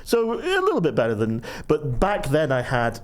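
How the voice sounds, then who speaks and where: A man's voice: mid-range voice, sing-song, normal speed. A man in his thirties, a guest on a podcast, telling rambling self-deprecating stories in casual chat. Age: 30s.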